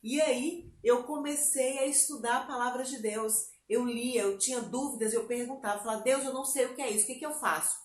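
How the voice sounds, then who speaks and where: Lively Brazilian woman telling her testimony. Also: a little high, brisk, few pauses, roomy sound. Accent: Bahian Brazilian Portuguese. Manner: lively storyteller, acts out her own past words. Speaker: interview guest. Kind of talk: personal testimony, casual chat.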